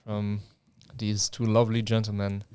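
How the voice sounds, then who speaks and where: A young man from Germany, co-hosting a casual podcast in English with relaxed, wry deadpan humor. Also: lowish voice, moderate pace, smooth, no echo. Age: young adult. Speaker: podcast co-host. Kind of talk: casual chat. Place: indoor room, seated in front of camera.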